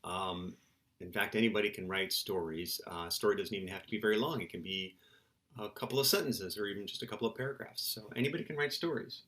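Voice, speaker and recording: A man's voice: on the low side, fast, a bit bright. A middle-aged man, a children's book author, giving casual, playful interview answers in simple terms for young listeners.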